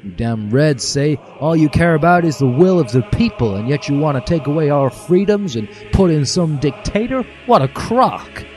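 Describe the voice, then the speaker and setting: A man's voice: on the high side, expressive, moderate pace, few pauses, a little mellow, soft background music, voice pushed up, lightly acted. A man voicing an evil, greedy male teacher who talks down to a pupil in a gloating, comic-villain manner. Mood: angry, scornful. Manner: gloating, condescending.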